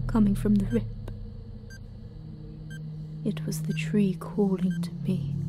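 Woman acting evil ancient head nun, really slow and breathy, audio drama, music underneath. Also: mid-range voice, lightly acted. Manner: slow, deliberate, breathy near-whispers. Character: menacing ancient Mother Superior villainess, imperious and bitter.

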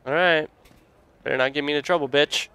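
A young man giving casual, excitable commentary while playing a video game, joking and swearing freely. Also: on the high side, quick pace, clean tone. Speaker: let's play gamer.

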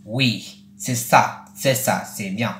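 A young man in his late teens speaking casually for a vlog, in a rambling, repetitive and halting way. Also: fairly low pitch, fairly bright tone, slight background noise. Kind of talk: casual vlog with acted-out conversations. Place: small attic bedroom, indoors. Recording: roomy, echoey webcam-style recording.

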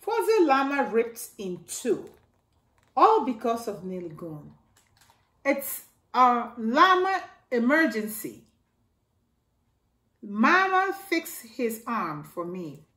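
Slow, expressive woman reading aloud. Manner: slow, expressive read-aloud, jumping high for exclamations and a toy's voice. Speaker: children's storytime reader. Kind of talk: reading a picture book aloud to children. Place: living room by a staircase, indoors. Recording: echoey room.

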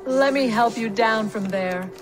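A woman's voice: a little high, measured pace, music in the background, natural voice. A woman voicing an imperious, scheming frost giantess in a fantasy video game, with dry disdain. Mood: mocking.

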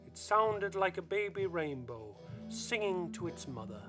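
A man reciting scripted narration for a radio play in theatrical, measured phrases. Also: high-pitched, big pitch swings. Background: music.

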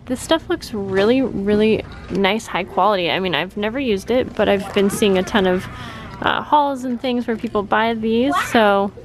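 A woman vlogging casually as she shops, talking informally and in a friendly way to her viewers. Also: fairly high pitch. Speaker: vlogger, host. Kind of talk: casual chatty shop-along and haul commentary. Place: large discount store, indoors. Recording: noisy store ambience.

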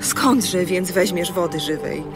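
A woman's voice: on the low side, slow pace. Earnest, questioning village woman. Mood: puzzled, challenging. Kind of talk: dramatised audio Bible radio play. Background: music.

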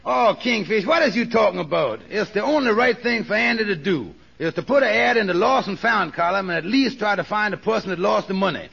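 An earnest, sensible friend in a vintage radio comedy. His folksy, pleading voice is high and extremely gravelly. The old broadcast has a dull sound. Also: expressive, brisk, few pauses, a bit bright, exaggerated voice. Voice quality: gravelly, husky. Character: Amos, earnest, honest, sensible male friend. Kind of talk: 1940s radio comedy acting.

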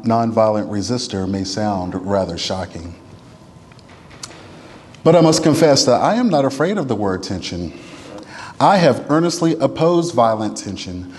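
A man reading a written text aloud in a formal, oratorical style, with measured, deliberate and emphatic phrasing. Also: mid-range voice, darkish tone. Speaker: reader at a church event. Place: large church interior. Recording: reverberant large hall.

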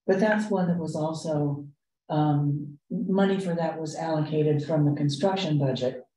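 A woman with a low, mellow voice leads a committee meeting. She explains each point in a measured, halting way. She is picked up from a distance in an echoey room. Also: a little hiss or hum. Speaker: arts commission member leading the meeting. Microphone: distant room microphone.

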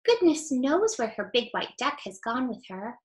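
A woman's voice: on the high side, very animated, moderate pace. Woman doing a worried storybook boy. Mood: upset. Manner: upset, anxious.

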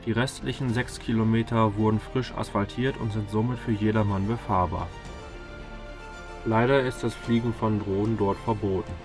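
A man's voice: lowish voice, fairly even intonation, normal speed, music in the background. A man giving a scripted voice-over narration as a travel vlogger.

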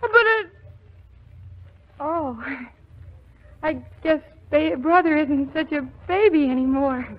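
Excitable young fiancée, very high and stammering, dull vintage radio comedy sound. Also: female voice, slow, long pauses. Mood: breathless, flustered. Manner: flustered, stammering. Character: flustered, excitable young fiancée in romantic farce. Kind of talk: 1940s radio comedy acting. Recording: muffled 1940s radio recording.

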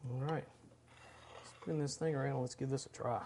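A man's voice: mid-range voice, fairly even intonation, normal speed, vocal fry, a little mellow, a little hiss or hum. Chatty middle-aged man cooking at home. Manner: chatty, enthusiastic, talks while working. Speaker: cooking show host. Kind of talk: casual cooking demonstration. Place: large home kitchen.

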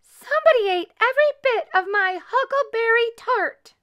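Squeaky little boy bear, high-pitched, bright, upset, storybook character, woman performing. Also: animated, normal speed, highs cut off. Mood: indignant. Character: small squealing male bear cub, tearful and indignant.

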